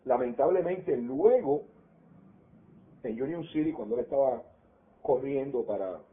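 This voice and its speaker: A man's voice: mid-range voice, lively intonation, unhurried. Older man, Cuban Spanish accent, storytelling, narrow phone-line sound. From Cuba. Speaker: interviewee. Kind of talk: oral history recollection. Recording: tinny phone-like audio.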